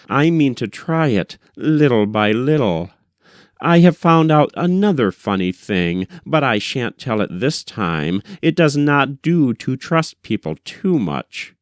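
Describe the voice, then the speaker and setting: Man, audiobook reader, mid-pitched voice, literary narration, measured delivery, dry close sound. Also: no echo. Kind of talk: scripted literary narration of a first-person short story.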